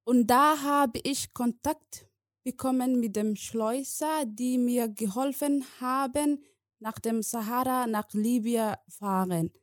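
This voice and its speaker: Slow-speaking woman in her twenties from Somalia. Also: on the high side, no echo, clean studio sound. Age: 20s. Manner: slow, careful, plain sentences with hesitations. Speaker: guest. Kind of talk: personal testimony in a podcast interview.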